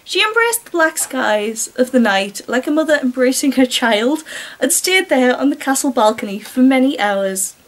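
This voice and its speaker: A woman with a high voice reads fiction aloud in a storyteller role. She slips between narration and chatty, mocking commentary, with a wry, sarcastic manner. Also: sing-song, normal speed.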